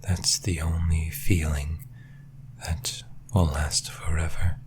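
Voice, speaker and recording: A possessive sleep paralysis demon played by a man whispers in an extremely low, breathy voice over audible background noise. The delivery is slow and menacing, teasing the listener like an ASMR roleplay villain. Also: level tone, a little mellow. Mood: brooding, eerie.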